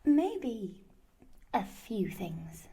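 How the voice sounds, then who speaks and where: A woman's voice: fairly high pitch, very animated, drawn-out words, warm tone, slightly rough, heavily acted. An actress playing a sly, falsely sweet young serving girl with a coy, dry delivery in an audio horror drama. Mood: wary, feigning innocence.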